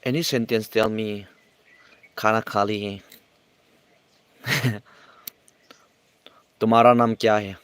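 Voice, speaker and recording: A man with a fairly low voice hosts a relaxed livestream and answers viewers' comments one by one. His English is not his first language, so he speaks haltingly and restarts his sentences often. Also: clean tone, dry recording. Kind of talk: casual livestream chat.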